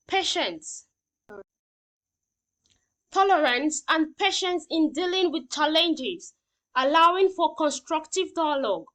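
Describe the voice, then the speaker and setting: Woman, high voice, teacher, didactic lesson delivery, explains simply, checks understanding. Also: a bit bright. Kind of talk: lesson reading slide points and explaining simply.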